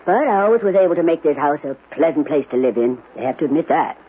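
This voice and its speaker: An actress playing a bossy, plain-spoken housekeeper in a radio drama, with a folksy, sarcastic, no-nonsense manner. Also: female voice, deep voice, expressive, fast talker, breathy edge. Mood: sarcastic, defiant. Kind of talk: 1970s radio drama acting. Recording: tinny, noisy old radio broadcast.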